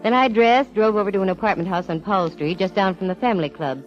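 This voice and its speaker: A woman's voice: mid-range voice, quick pace, soft background music, lightly acted. An actress playing a wry, self-assured female private eye in a 1940s radio detective drama, with a cool, wisecracking, slangy delivery. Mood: wry narrating. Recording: muffled vintage 1940s radio recording.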